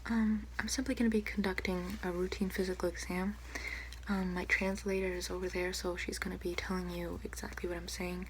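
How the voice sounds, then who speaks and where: A young woman speaking softly and often whispering in an ASMR doctor roleplay, hesitant, unhurried and polite. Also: fairly low pitch, level tone. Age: young adult. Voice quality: soft, breathy, often whispering. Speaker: ASMR creator playing a doctor. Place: small room at home, indoors. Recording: noticeable background hiss, home recording.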